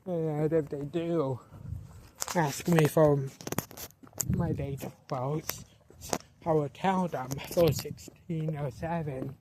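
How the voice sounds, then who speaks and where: An eighteen-year-old man vlogging outdoors at night, talking to a handheld camera, slow and repetitive, often restarting his sentences. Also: fairly high pitch, steady tone, background noise. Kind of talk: casual vlog narration.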